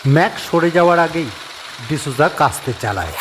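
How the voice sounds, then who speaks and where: A man narrating a horror audio story in a steady, measured storytelling voice. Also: fairly high pitch, noisy background.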